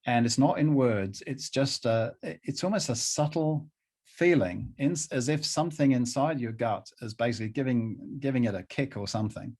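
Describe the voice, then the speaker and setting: A middle-aged man speaking conversationally as an interview guest, haltingly, often repeating phrases to drive them home. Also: medium pitch, animated, a little mellow.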